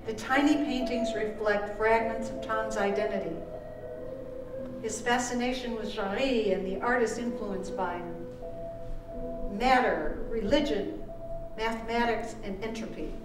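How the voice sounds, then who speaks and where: Slow-reading woman in a roomy space. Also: a little high, over music. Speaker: author reading from own book. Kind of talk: scripted literary reading at a live event. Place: live performance event in a room. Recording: roomy, distant microphone with background noise.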